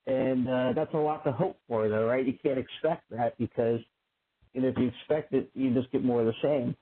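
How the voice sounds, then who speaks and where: A man's voice: medium pitch, moderate pace. A man hosting a casual discussion, talking conversationally with restarts and frequent "right?". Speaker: host. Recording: narrow phone-like audio.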